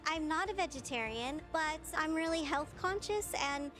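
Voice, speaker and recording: A woman in her fifties from California with a squeaky, bubbly voice, talking as a cooking show contestant. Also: animated, moderate pace, sharp tone, soft background music. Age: 50s. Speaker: home cook contestant. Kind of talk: reality show talk.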